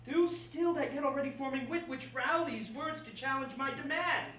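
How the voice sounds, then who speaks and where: A woman's voice: sits high, normal speed, a bit bright. A woman playing an oily, menacing lord in a staged verse comedy, drawing out taunting lines theatrically. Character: menacing male soldier lord, mocking, oily and courtly. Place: roomy indoor space, far from the mic. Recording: distant echoey room with faint noise.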